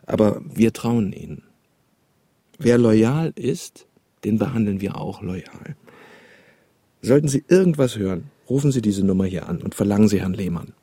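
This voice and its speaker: An actor playing a smug East German secret police officer in a radio drama, falsely friendly and quietly menacing. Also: male voice, on the low side, expressive, moderate pace, breathy edge, no echo. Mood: smugly menacing.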